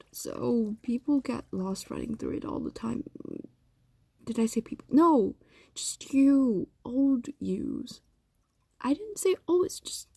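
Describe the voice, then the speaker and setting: A woman voicing a possessive, evasive fox demon in an intimate audio roleplay, speaking softly and often dropping into whispers. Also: fairly high pitch, husky, dry recording, exaggerated voice. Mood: coaxing, evasive. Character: possessive, evasive female fox demon doting on captive human.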